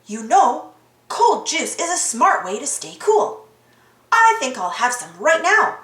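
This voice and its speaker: A woman reading a children's storybook aloud in an animated, exaggerated, sing-song way for young children. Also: high voice, slow pace. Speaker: storybook reader. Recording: roomy echo.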